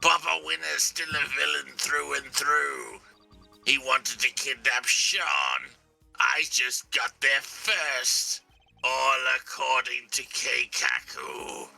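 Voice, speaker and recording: Casting: male actor as smug, taunting game villain; very gravelly, unhurried, toying with the pursuers. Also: mid-range voice, sharp tone, faint music behind, heavily acted, poor audio. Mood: mocking. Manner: teasing, drawling, mocking. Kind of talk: live video game voice-over.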